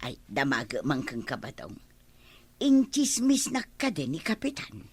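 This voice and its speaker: A woman's voice: rumbling low, wildly swooping pitch, a little slow, breathy edge, dry recording, exaggerated voice. An actress playing a talkative, indignant village woman in an Ilocano radio comedy drama, with an Ilocano accent. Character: talkative village woman, indignant, gossiped about over money.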